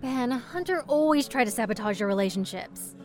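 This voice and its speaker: An actress playing a caring, gossipy friend in an audio drama, quick to chide. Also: female voice, a little high, lively intonation, faint music behind, lightly acted. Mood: earnest, indignant. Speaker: voice actor.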